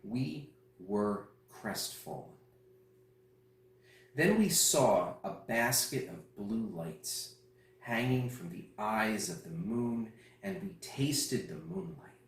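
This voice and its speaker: A man's voice: lowish voice, expressive, slow. A man reciting poetry as a reader at a festival. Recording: roomy echo, distant mic.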